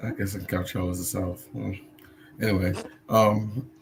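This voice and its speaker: A man's voice: low-pitched, level tone, normal speed, creaky, noisy background. A man co-hosting a podcast, talking through the news casually with jokes and fillers. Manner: laid-back, joking, with fillers. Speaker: podcast co-host presenting news. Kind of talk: casual chat.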